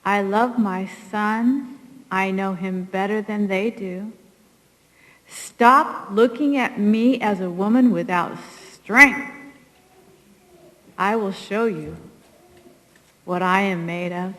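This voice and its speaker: A woman's voice: medium pitch, slow, pauses often, a bit bright. A middle-aged woman, a soldier's mother, reads her own emotional poem in emphatic, weighted phrases. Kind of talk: emotional poetry reading. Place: large hall at a public ceremony. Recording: echoey hall with long reverb.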